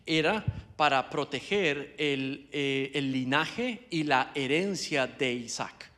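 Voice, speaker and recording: A man's voice: medium pitch, slow, a bit bright. A man interpreting a sermon live into Spanish, hesitating and restarting as he goes. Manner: hesitant, many fillers and restarts while interpreting. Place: church sanctuary.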